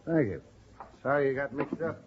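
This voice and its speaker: An American actor playing an affable, folksy, talkative roadhouse bartender in a 1950s radio drama. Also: male voice, mid-range voice, expressive, normal speed, close to an everyday voice. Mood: chatty, easygoing. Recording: dull, noisy vintage radio recording.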